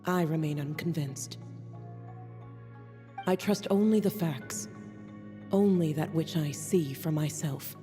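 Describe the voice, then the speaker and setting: An actress playing a cool, skeptical master alchemist in a video game, speaking in a formal, deliberate way. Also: female voice, deep voice, mellow. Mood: cold, grave. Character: cool, skeptical, aloof master alchemist woman, measured and exacting. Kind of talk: scripted video game voice acting. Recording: long reverb, faint music.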